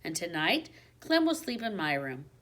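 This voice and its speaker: A woman voicing a calm, reassuring mother in a children's storybook, matter-of-fact and soothing. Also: fairly low pitch, normal speed.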